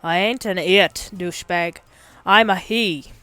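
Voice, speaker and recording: A woman's voice: fairly low pitch, unhurried, fairly bright tone, clean tone. A woman voicing an indignant, foul-mouthed male talking skunk with a Boston accent, brash and combative, in an audio story. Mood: aggressive, insulting.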